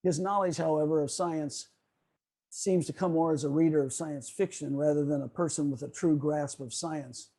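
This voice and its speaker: A man giving a prepared, explanatory lecture in a measured way on a video call. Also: on the high side, fairly even intonation, mellow. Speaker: presenter.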